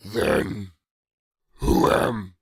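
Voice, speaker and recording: An actor playing a big, childlike, simple-minded monster clone of a superhero, speaking slowly in broken grammar for a motion comic. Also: male voice, dry recording. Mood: confused. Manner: slow broken grammar, few words.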